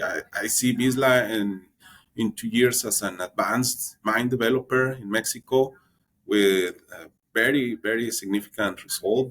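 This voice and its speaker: Halting man repeating his words. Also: fairly low pitch. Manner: halting, repeats words while searching for them. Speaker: exploration geologist guest. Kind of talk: interview explanation.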